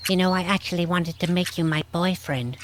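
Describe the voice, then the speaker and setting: A woman's voice: lowish voice, a little fast, a little hiss or hum, natural voice. A woman playing a haughty, impatient young adventurer in an audio drama, prim and condescending in manner. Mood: scheming, cool. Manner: prim, condescending, formal wording. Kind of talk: scripted audio drama acting.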